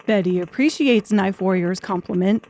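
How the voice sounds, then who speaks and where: A woman's voice: medium pitch, normal speed, a little mellow. A woman reading scripted third-person narration for an audiobook story.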